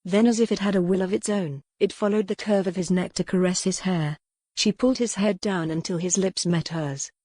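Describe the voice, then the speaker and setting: Mellow woman narrator, dry studio sound. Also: fairly low pitch, fairly even intonation, fairly quick, no room sound. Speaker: audiobook narrator. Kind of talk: scripted dramatic narration of historical romance.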